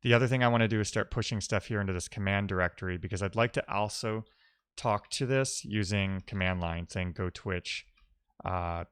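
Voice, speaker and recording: A man with a fairly low, crisp voice talks informally as he codes on a livestream. He thinks aloud and chats to his viewers. The sound is dry and close, with little room to it. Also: fairly bright tone, dry recording. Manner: informal, reading and answering chat messages aloud. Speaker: live-streaming programmer, host. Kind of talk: casual live-coding stream chat, thinking aloud.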